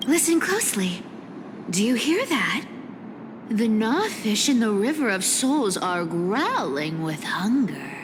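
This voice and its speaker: Casting: a haughty feline demigod trickster in a game; playful, unhurried, swooping delivery, teasing and bossy. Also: female voice, medium pitch, very animated, background noise. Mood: sly threat. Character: sly, haughty cat-demigod woman thief, teasing and greedy. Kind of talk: dubbed video game character acting.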